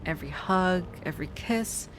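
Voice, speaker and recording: A woman playing an obsessive, sweetly pleading ex-girlfriend in an intimate audio roleplay, speaking softly and haltingly. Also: fairly low pitch, natural voice. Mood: wistful, pleading. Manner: soft, halting, wistful, with sighs and nervous little laughs. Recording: music under the voice.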